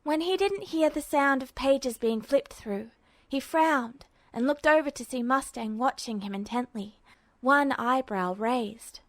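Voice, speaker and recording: A woman reading fan fiction aloud as a podfic, steady and even. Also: high-pitched, a little slow, no echo. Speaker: narrator.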